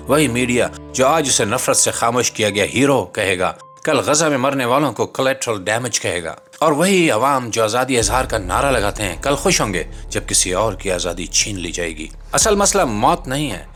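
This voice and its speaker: Animated man delivering scripted political commentary. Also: medium pitch. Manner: rhetorical, sardonic, declamatory. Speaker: host and narrator of a commentary channel.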